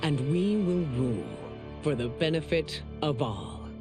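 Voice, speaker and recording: Casting: grave ghostly monarch narrating a game, slow and formal, under loud music. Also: male voice, fairly high pitch, expressive. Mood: grave, resolute. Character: solemn dead ruler's soul narrating from limbo. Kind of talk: scripted dramatic game narration.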